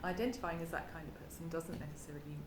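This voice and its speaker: A woman's voice: low voice, fairly even intonation, very fast. A woman chairing an academic panel discussion, speaking hesitantly and restarting phrases. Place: conference room. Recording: echoey hall with background noise.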